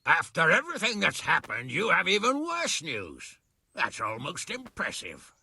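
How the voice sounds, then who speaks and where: Gravelly, lively-voiced rough captain, dry close sound, played by. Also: male voice, a little high, unhurried, no room sound, full character voice. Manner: gruff, profane. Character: blunt, foul-mouthed male knight captain. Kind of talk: video game voice acting.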